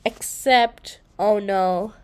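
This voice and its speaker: A woman's voice: mid-range voice, fairly even intonation, drawn-out words, a little mellow, clear, resonant tone. A woman voicing an anxious, earnest young child in a children's storybook, speaking with urgency. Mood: urgent. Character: anxious, earnest young child worried about kidnapped grandma. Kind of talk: children's storybook read-aloud.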